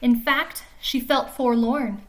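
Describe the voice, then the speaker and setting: A woman reading a picture book aloud to young children in an animated way, pausing to explain and ask questions like a teacher. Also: a little high. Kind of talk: expressive storybook read-aloud with chatty explanations to children.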